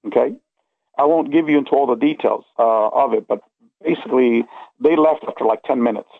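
A man's voice: medium pitch, a little fast, gravelly, slightly rough, dry recording. A middle-aged man telling a personal story in a casual, rambling, earnest way, stressing points and checking the listener follows. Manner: earnest, emphatic, checks in with okay and you know. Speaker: interview guest recounting personal experiences. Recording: tinny phone-like line.

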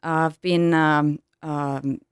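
A woman in her thirties from Italy with an Italian accent, a yoga teacher telling her story in an interview, pausing often to find words in English. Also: deep voice, little pitch movement, clean tone, no room sound, clean studio sound. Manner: hesitant, searching for English words with many ums.

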